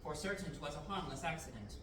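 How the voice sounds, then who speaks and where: Imperial captain, a man reading, earnest declaiming, fairly high voice, noisy distant room. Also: animated, quick pace, lightly acted. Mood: reporting. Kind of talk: amateur table reading in Shakespearean verse. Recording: echoey room from far off, background noise.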